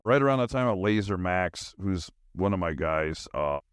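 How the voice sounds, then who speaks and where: A man's voice: deep voice, lively intonation, moderate pace, clean tone, dry recording, studio quality. A man hosting a podcast alone, chatting casually in a scattered, self-deprecating, informal way. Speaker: podcast host. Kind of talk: rambling casual solo chat.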